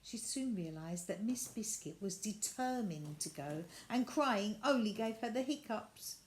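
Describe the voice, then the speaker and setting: A woman reading a children's storybook aloud as an expressive narrator. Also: medium pitch, a little slow. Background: added sound effects and faint noise.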